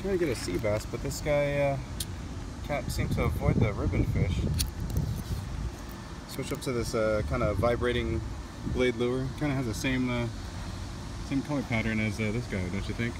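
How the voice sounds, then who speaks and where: A man narrating a casual fishing vlog outdoors, speaking off the cuff in a halting way. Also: mid-range voice. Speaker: angler vlogger. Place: outdoors at night on a waterside concrete edge. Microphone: handheld camera or phone, voice off camera. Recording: distant voice, loud outdoor ambient noise.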